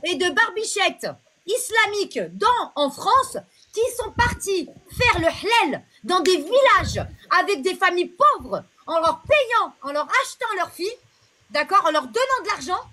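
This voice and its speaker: Sharp, very high-pitched forceful woman. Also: very animated, a little slow. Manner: forceful, interrupting, blunt and crude. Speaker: livestream host. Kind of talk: heated live debate.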